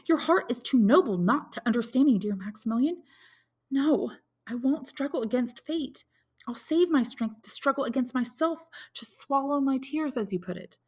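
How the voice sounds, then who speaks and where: A woman's voice: high voice, animated, normal speed, a little mellow, breathy edge, voice pushed up. A woman voicing a distraught, dutiful young woman in love, with an emotional, imploring manner in a dramatic audiobook. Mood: shocked, anguished. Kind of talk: dramatic audiobook character reading.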